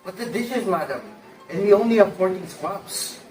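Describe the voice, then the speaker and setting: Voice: mid-range voice, moderate pace, a little mellow, close to an everyday voice. Teenager acting worried household servant, deferential, roomy echo, off-mic, music underneath. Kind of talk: amateur one-act play acting. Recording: echoey, distant mic, music behind.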